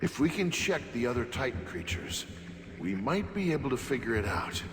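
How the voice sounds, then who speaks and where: Casting: man playing thoughtful fantasy game sidekick, animated mid-range voice, helpful, music underneath. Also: mid-range voice, normal speed, natural voice. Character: helpful, thoughtful male adventuring companion. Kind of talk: video game cutscene acting.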